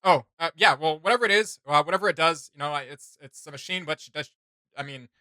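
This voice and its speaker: A man's voice: on the high side, quick pace, sharp tone, no echo, studio quality. A man in his thirties hosting a casual reaction chat, talking in an enthusiastic, slangy way. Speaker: host of a reaction channel. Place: small home studio room, indoors.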